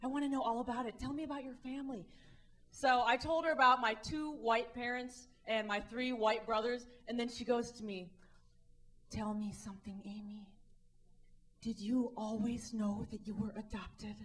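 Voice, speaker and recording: A woman in her thirties, from the Midwest, doing a wry, animated stand-up set on a club stage with a handheld mic and acting out the voices of people she quotes. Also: on the high side, moderate pace. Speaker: stand-up comedian. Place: comedy club stage, indoors.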